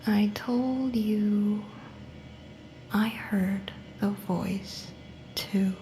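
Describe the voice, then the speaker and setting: A slow, menacing, taunting avenger. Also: female voice, mid-range voice, mellow, a little hiss or hum, poor audio. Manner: mocking, deliberate, often dropping to breathy murmurs. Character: menacing vengeful female patient, taunting, coldly controlled. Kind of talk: psychological horror audio drama acting.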